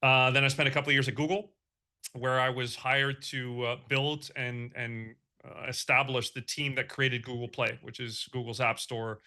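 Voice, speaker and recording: A man's voice: mid-range voice, little pitch movement, normal speed, pushed and bright. A man from Belgium with an American accent, answering interview questions in a thoughtful way, with a lot of um and you know. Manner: thoughtful, with many fillers and restarts. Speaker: guest, marketing executive.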